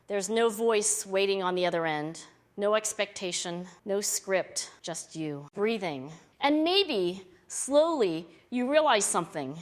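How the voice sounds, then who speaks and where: A woman reading a scripted, reflective self-help narration in short, deliberate phrases. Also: medium pitch. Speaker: video narrator.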